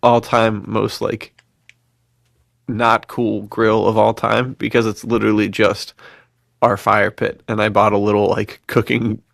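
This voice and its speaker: Gravelly low-voiced man chatting on a podcast. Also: flat delivery, unhurried, a bit bright, no room sound, studio quality. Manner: enthusiastic, laid-back slang, frequent chuckling. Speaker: podcast co-host. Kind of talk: casual podcast chat, storytelling.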